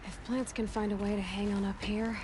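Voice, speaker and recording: A woman's voice: mid-range voice, little pitch movement, fairly quick, background noise, natural voice. An actress playing a determined, wary young woman explorer in a video game, musing to herself in a natural way. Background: prominent music.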